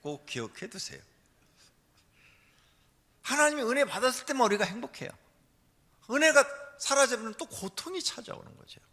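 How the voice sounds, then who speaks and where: A man preaching a church sermon as a pastor, emphatic, moving between hushed asides and loud, forceful exhortation. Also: extremely high pitch, sing-song, normal speed, long pauses. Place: large church hall during a service. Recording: long hall reverb.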